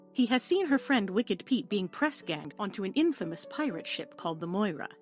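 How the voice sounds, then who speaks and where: A woman reading scripted story narration for an audio play in an expressive, theatrical way. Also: medium pitch. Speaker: narrator, reader.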